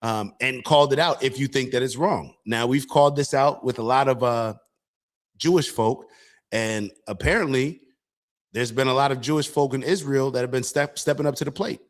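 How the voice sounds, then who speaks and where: Man host, casual political talk, earnest, restarts his sentences, mid-pitched voice. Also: normal speed. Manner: earnest, restarts sentences, addresses viewers as y'all. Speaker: show host.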